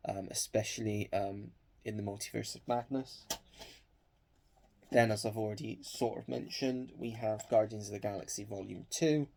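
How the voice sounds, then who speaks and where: A man's voice: mid-range voice, warm tone. A young man with a cold, sniffling as he chats hesitantly with lots of ums about his film collection. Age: young adult. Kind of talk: casual collection showcase.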